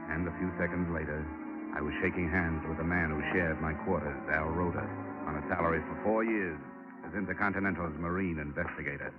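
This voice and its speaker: An actor playing a wry insurance investigator in a 1940s radio drama, speaking in a clipped, matter-of-fact way. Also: male voice, low-pitched, steady tone, fairly quick, natural voice. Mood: dry, matter-of-fact. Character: wry freelance male insurance investigator, narrating his case. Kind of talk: 1940s radio drama acting, scripted narration. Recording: muffled 1940s radio recording with music.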